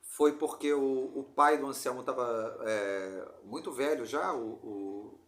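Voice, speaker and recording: A man's voice: medium pitch, slow pace. A man with a Rio de Janeiro Brazilian accent chatting casually as a podcast host, telling anecdotes in a slangy, rambling way. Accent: Brazilian Portuguese, Rio de Janeiro. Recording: roomy echo.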